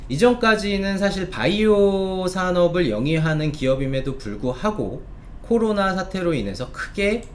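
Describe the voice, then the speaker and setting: A man with a fairly high voice presents stock commentary in a very animated, swooping intonation. He explains politely and draws out key words for emphasis like someone pitching to viewers. Some background noise sits behind the voice.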